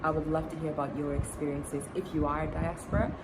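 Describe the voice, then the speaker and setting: A woman's voice: deep voice, level tone, fast talker. A young woman from Tanzania chatting casually in a storytime vlog, with lots of fillers and restarts. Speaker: vlogger. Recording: loud background noise.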